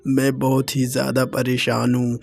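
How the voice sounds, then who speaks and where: Guy doing a worried poor village lad in a cartoon, earnest pleading, pretty even pitch, music under it. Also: moderate pace, creaky. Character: troubled poor young village man seeking work. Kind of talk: Hindi moral-story cartoon dubbing.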